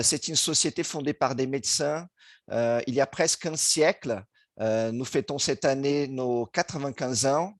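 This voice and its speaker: Halting Brazilian man presenting slides. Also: medium pitch, no echo, studio quality. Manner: halting, searching for words, frequent restarts. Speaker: guest speaker, board chairman. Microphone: remote video call.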